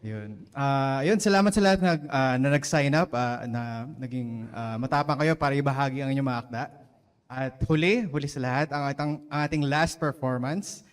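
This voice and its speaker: A man's voice: medium pitch, fairly quick, clean tone. A man with a Filipino accent hosting an open mic event, talking loosely with many fillers. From Philippines. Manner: loose, chatty, filler-heavy. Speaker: event host. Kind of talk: casual emcee talk.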